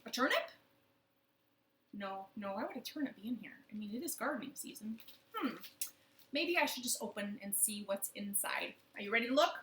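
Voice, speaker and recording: Bright sing-song woman leading storytime. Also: sits high, reverberant. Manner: playful, sing-song, animated with children. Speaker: children's librarian leading storytime. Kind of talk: children's storytime with rhymes and songs. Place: her living room.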